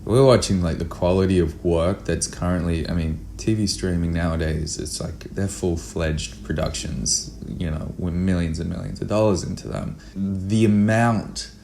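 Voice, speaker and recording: A man's voice: low-pitched, steady tone, normal speed, vocal fry, hardly any pauses, dark tone, a little hiss or hum. A man speaking casually and enthusiastically as a guest filmmaker in an interview. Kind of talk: casual conversational interview answers.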